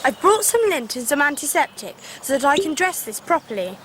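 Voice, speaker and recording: High-voiced, earnest young Victorian girl. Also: big pitch swings, a little fast, noisy background. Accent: English upper-class. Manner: earnest, polite, questioning. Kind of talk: 1990s radio drama acting.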